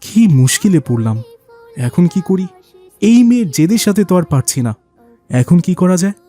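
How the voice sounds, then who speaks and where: Man acting a show-off rich cartoon businessman, high-pitched, bossy, condescending. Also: very animated, normal speed, warm tone, soft background music. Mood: fretful, coaxing. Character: pompous, show-off rich businessman and indulgent father.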